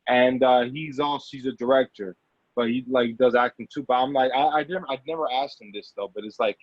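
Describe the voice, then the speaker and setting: A man's voice: mid-range voice, fairly quick, no echo. A man talking casually as an interview guest, rambling and often repeating his phrases as he explains himself. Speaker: guest actor and filmmaker. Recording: dull lo-fi audio.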